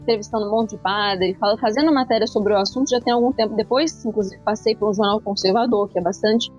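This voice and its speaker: A woman journalist introducing herself on a panel in a measured, self-correcting way. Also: medium pitch, fairly even intonation, muffled recording, soft background music. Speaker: journalist guest. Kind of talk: casual panel conversation.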